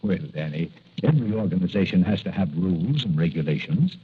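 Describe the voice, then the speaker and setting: An actor playing a worried, kindly older doctor in a measured, hesitant 1940s radio style. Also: male voice, low voice, lively intonation, heavily acted. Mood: concerned, cautioning. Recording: muffled, husky-sounding old radio recording.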